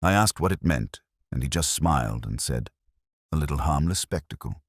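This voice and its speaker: A man playing a blunt female town councillor, brisk and scornful, in a mystery story. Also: very deep voice, animated, no echo, clean studio sound. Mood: matter-of-fact, sceptical.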